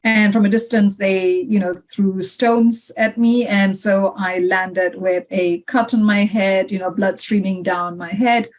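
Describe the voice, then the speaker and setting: A middle-aged woman from Malaysia speaks as a podcast guest, sounding reflective as she tells her story. She talks in a relaxed conversational way, often dropping in "you know" as she goes. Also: mid-range voice, measured pace, hardly any pauses. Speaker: podcast guest, head of legal.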